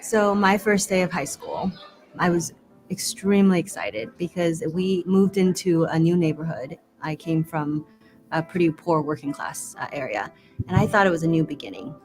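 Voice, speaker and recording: A woman from Vietnam telling her personal story in a measured, earnest way for a promotional video. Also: fairly low pitch, a little mellow, rough recording. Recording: voice over background music. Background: music.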